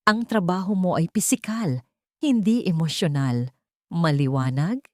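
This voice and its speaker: A woman narrator plays a cold, wealthy male sculptor, handing down stern orders in measured phrases. It is audiobook dialogue in a lowish register, recorded dry. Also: dry recording, natural voice.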